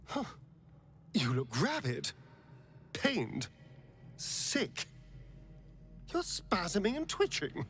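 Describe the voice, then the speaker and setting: An actor playing a wry, haughty aristocrat in a fantasy game, arch and teasing in a theatrical way. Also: male voice, sits high, wildly swooping pitch, drawn-out words, pauses often, a bit bright, soft background music, heavily acted.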